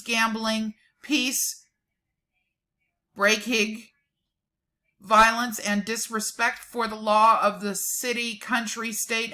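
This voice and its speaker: Bright-voiced woman reading slowly and dramatically. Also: mid-range voice. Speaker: presenter reading a document aloud with asides. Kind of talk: dramatic reading with casual mocking asides.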